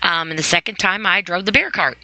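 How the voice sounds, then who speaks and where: Woman podcast host, forceful bright tone, very dry sound, informal opinionated solo talk with emphatic repetition. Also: on the low side, a little fast, no echo. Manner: emphatic, repeats phrases for stress.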